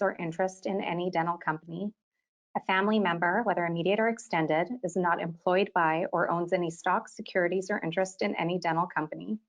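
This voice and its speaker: A woman in her thirties with a slightly low voice, lecturing to a webinar audience. She talks as an orthodontist in a clear, organized way, often leading into her points with "so". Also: lo-fi sound. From Quebec, Canada. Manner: clear, organized delivery, often opening sentences with "so". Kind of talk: continuing-education webinar lecture.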